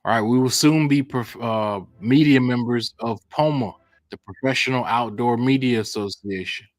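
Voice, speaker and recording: A man's voice: mid-range voice, moderate pace, dry recording. Casual male podcast host, dry sound. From United States. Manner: upbeat announcer, casual slang like bro. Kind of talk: podcast hosting and interview.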